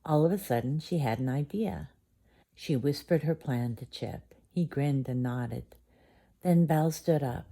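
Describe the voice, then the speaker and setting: A woman's voice: a bass voice, measured pace, dark tone. A woman reading a children's bedtime story aloud as storyteller.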